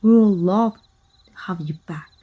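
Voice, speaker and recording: Slow, husky woman acting a sly vampire hunter. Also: fairly low pitch, phone-quality sound. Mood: coaxing. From Mexico. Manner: slow, deliberate, mock-sweet and menacing. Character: sly, taunting, sadistic female vampire hunter, manipulative estranged sister. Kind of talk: ASMR roleplay acting.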